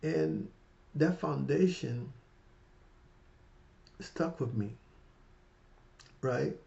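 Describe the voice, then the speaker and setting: Man, fifties, gravelly voice, rambling heartfelt chat to camera, slightly muffled home audio. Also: mid-range voice, expressive, very slow, slight background noise. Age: 50s. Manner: rambling, repeats "right?" and "you guys"; warm and heartfelt, emotional about his mother. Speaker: finance vlogger. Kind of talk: casual motivational talk to camera.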